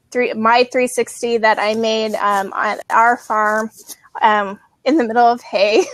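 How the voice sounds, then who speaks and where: Woman host, bright higher voice, dry close sound, casual chatty delivery. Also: no echo. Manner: chatty, laughs often, many ums. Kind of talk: casual live video chat.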